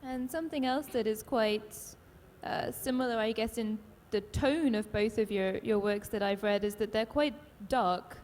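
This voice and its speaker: A woman moderating a literary panel, asking long, considered questions with soft fillers. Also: on the high side. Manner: measured, thoughtful, soft fillers. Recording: long hall reverb, faint room noise.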